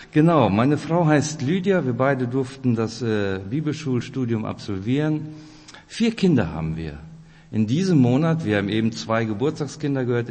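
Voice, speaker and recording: A man's voice: mid-range voice, a little mellow, a little hiss or hum. A man in his forties from Kazakhstan with a Russian-German accent and a rolled R, giving a friendly, chatty informal sermon as a lay preacher. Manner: friendly, chatty, addresses listeners directly. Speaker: lay preacher at a church weekend. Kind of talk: informal sermon with personal introduction. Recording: reverberant hall.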